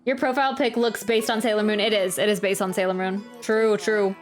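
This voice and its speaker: A woman's voice: medium pitch, steady tone, a little fast. A woman streamer reacting to a game and chatting casually, rambling and often interrupting herself. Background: soft game music.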